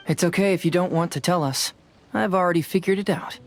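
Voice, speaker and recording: Young sleuth from a game, lighter higher voice, calm n sure of himself, faint music. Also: male voice. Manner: deliberate, deductive, matter-of-fact. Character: composed, shrewd young male detective, confident. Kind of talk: animated video game voice acting.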